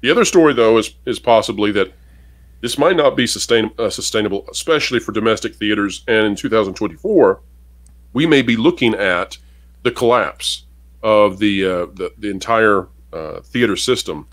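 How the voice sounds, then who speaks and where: Opinionated low-voiced man. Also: expressive, moderate pace. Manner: opinionated, emphatic, talks straight to viewers. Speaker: podcast co-host. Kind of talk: casual commentary reading an article.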